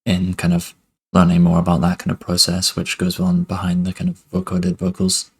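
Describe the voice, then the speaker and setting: A young man giving a relaxed music production tutorial at his desk, talking loosely with lots of fillers. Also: deep voice, normal speed, slightly rough. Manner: relaxed, many fillers like "kind of" and "basically". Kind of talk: casual screen-recorded tutorial.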